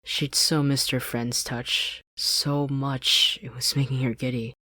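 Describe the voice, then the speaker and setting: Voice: medium pitch, level tone, slow pace, bright, forceful tone, no echo. A narrator reading fan fiction as scripted story narration, close to the microphone. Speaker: podfic narrator. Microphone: close studio-style desk microphone, dry.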